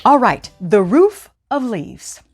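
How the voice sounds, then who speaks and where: A woman hosting a children's storytime, speaking in an expressive, teacherly way as she reads and explains. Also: on the high side, slow pace, faint music behind. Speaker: host of a children's read-aloud storytime show. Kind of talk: expressive storybook reading with scripted instructions.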